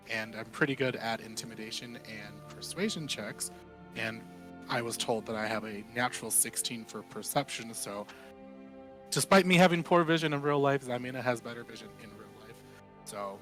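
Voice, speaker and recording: A man's voice: mid-range voice, fairly bright tone. A man with a mid-range voice chats casually as a player on a tabletop game stream. His delivery is conversational and unpolished, and faint music plays underneath. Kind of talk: casual introduction and announcements to stream viewers.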